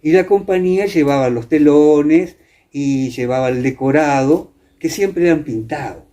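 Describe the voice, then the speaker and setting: An older man with an Argentine accent from Entre Ríos, reminiscing in a conversational interview, haltingly searching for words and repeating himself. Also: a little high, mellow. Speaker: interviewee, former radio theatre actor.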